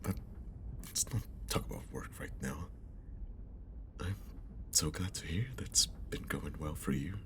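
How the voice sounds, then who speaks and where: A man acts out a caring young guy talking to an old crush in an ASMR roleplay. His voice is extremely deep and crackles with vocal fry. He speaks slowly and gently, half whispering in a shy, friendly way. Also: heavily acted. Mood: gentle, reassuring. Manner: soft, gentle, breathy half-whispered delivery, hesitant. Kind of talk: ASMR roleplay, intimate one-sided conversation.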